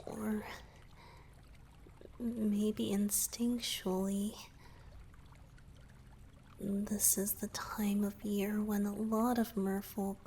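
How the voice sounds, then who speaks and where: Woman voicing a soft, very slow-talking shy merman. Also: mid-range voice, level tone, a little hiss or hum. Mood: shy, explaining. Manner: hesitant, soft-spoken, often trailing into breathy whispers. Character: gentle young merman boyfriend, shy and evasive. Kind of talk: romantic ASMR roleplay.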